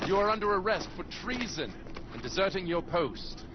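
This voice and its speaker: An actor playing a stern soldier in a video game, speaking with formal, commanding authority. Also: male voice, high-pitched, very animated, normal speed. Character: stern, official male soldier making an arrest. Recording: dull game audio with clear noise.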